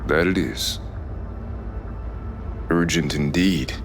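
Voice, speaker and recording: An actor playing a cool, imposing mercenary in a cyberpunk video game, dry, assertive and businesslike. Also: male voice, very deep voice, takes it slowly, soft background music, exaggerated voice. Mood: shrewd, assertive. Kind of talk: video game drama acting.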